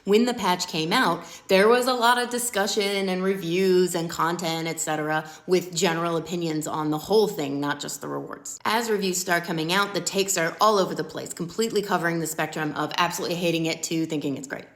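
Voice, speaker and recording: A woman's voice: lowish voice, fairly quick, hardly any pauses, roomy sound. A woman giving a casual, blunt and sweary recap of online drama, with a wry way of talking. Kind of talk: casual commentary to camera, story recap.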